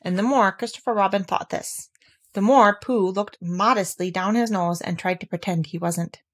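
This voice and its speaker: Medium-pitched woman narrating a children's story. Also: moderate pace, breathy edge. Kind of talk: expressive read-aloud children's story narration.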